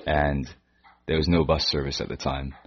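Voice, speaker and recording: A man from Canada with a Canadian accent that keeps traces of British, answering interview questions in a hesitant way with frequent pauses. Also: rumbling low, little pitch movement, husky. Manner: hesitant, pauses and restarts often. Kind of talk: casual interview chat. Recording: dull lo-fi recording.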